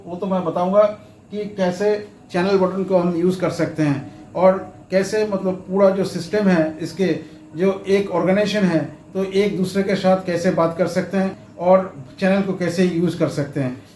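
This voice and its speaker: A man giving a step-by-step tutorial, explaining haltingly and repeating himself, with English terms mixed in. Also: high-pitched, fairly even intonation, a little mellow. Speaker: instructor, tutorial presenter. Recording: roomy echo, faint background noise.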